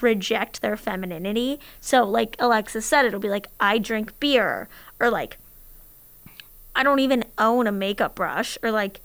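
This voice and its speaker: A young woman co-hosting a podcast with a raspy voice, talking in a straightforward, assertive way and laughing often. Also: on the high side, expressive, normal speed, fairly bright tone. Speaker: podcast co-host. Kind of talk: casual podcast chat.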